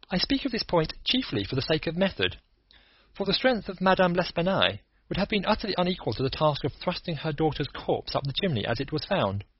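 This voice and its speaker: Picture a cool, analytical detective who lays out his deductions one methodical point at a time, read by a man. The voice is husky and rises and falls in a lively way. The recording is dull and dry. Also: on the high side, no room sound, heavily acted. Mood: reasoning. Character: cool analytical male detective, lecturing calmly. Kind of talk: audiobook character reading.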